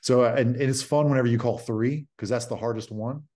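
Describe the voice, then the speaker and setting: A man's voice: mid-range voice, fairly even intonation, a little fast, mellow. A man in his thirties, a basketball coach, explaining a practice drill plainly over a video call. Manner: plain, explanatory, many fillers. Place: home office indoors. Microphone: video call webcam.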